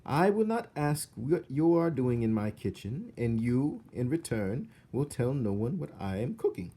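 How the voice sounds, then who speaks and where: A man voicing an excitable, jumpy yet jolly female castle cook from a children's storybook, in an exclamatory way. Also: medium pitch, a little slow, husky, voice pushed up, heavily acted. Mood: conspiratorial. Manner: exclamatory, self-muttering, giggling "hoo-hee".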